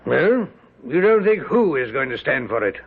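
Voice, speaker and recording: An actor playing a haughty, stern British general in an old radio drama, formal and pompous, with a gravelly voice. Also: male voice, a little high, big pitch swings, moderate pace, full character voice. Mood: stern, scornful. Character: haughty British general presiding over a court-martial. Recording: muffled lo-fi vintage radio recording.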